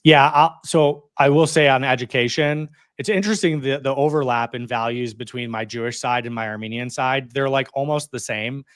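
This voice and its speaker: A man's voice: medium pitch, a bit bright, clear, resonant tone, no echo. Chatty man in his thirties, dry close sound. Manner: chatty, heavy use of "like" and "you know", quick laughs. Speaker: interview guest, community organizer. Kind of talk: casual conversational storytelling.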